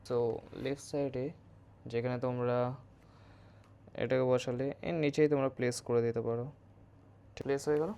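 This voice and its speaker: A man's voice: mid-range voice, little pitch movement, moderate pace, long pauses, mellow, clear, resonant tone. A man with a West Bengal Bengali accent giving a casual, instructive hands-on review, mixing in English words. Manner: informal, instructive, mixes English words into Bengali, often checks with "okay?". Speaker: motovlogger presenting a product review.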